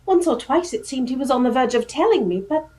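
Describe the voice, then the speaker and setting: A woman's voice: high voice, animated, brisk, a little mellow. A woman acting a grieving, loyal young lady in a radio drama, earnest and formal. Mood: hesitant, confiding.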